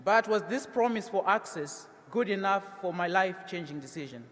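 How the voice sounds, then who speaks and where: A man's voice: high-pitched, animated, moderate pace, a bit bright, long reverb tail. A young adult man from Zimbabwe with a Zimbabwean accent, giving a prepared graduation speech as a student speaker. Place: large ceremony hall, at a podium.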